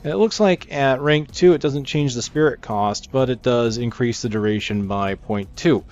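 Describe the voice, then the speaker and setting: Low-voiced man casually commentating a game. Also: moderate pace, a little mellow. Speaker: gaming video narrator. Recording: slightly dull mic, game music behind. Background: video game music.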